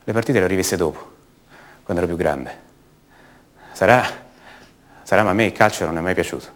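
An actor playing the brooding adult son of a disappeared woman, speaking haltingly and intimately in a radio theatre monologue. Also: deep voice, close to an everyday voice. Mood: bitter, ironic. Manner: halting, repeats words, intimate.

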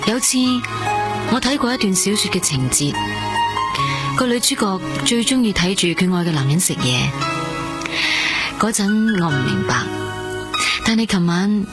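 A woman's voice: medium pitch, lively intonation, moderate pace, long pauses, over loud music, close to an everyday voice. An actress playing a tender young woman in a radio drama, speaking Cantonese with a Hong Kong accent. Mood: wistful, tender. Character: young woman heart-transplant patient, tender and quietly in love.

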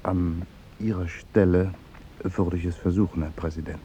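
An actor playing a discreet but nosy waiter, measured and dryly confidential, in a 1950s radio play. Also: male voice, low voice, fairly even intonation, warm tone, lightly acted. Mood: confiding. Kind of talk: 1950s German radio drama acting. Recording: faintly noisy 1950s radio recording.

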